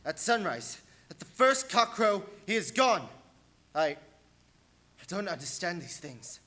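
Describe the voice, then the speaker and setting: An actor playing an anxious English gentleman held captive, narrating his diary with earnest, suspenseful theatricality in a radio play. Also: sits high, big pitch swings, measured pace, heavily acted. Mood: fearful, desperate. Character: Jonathan Harker, anxious English gentleman, dread-filled captive diarist. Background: music.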